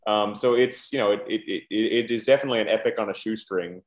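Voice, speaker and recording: A film director on a video call, talking in halting bursts full of restarts and fillers. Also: male voice, on the low side, reverberant.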